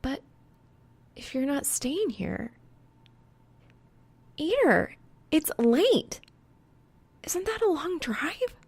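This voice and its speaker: Woman acting a soft high-voiced caring young gamer girl. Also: sing-song, drawn-out words, long pauses, full character voice. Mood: worried, grumbling.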